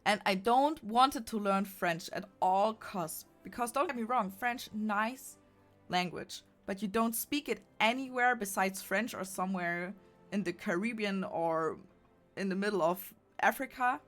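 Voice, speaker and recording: A young woman with a strong German accent talks casually to her stream audience, mid-pitched and chatty. She rambles, stops and restarts her sentences, and corrects herself as she goes. Quiet game music plays underneath. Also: unhurried. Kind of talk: casual chat.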